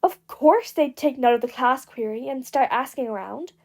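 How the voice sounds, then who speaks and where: A woman reading a piece of fiction aloud as narrator, with expressive scripted delivery. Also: high voice, moderate pace, vocal fry. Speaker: podfic narrator.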